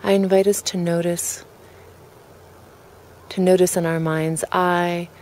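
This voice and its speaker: A woman with a low voice leads a guided relaxation. She speaks very slowly and calmly, setting out each soft invitation with care. Faint ambient noise sits behind her. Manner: calm, gentle, deliberate phrasing with pauses.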